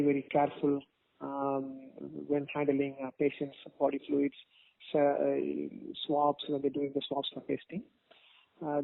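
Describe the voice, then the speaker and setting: Over a thin, tinny phone line, a man answers interview questions as a doctor. His voice sits slightly high for a man and has a creaky, gravelly edge. He explains in a formal, measured way, halting as he goes. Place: phone call. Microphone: telephone.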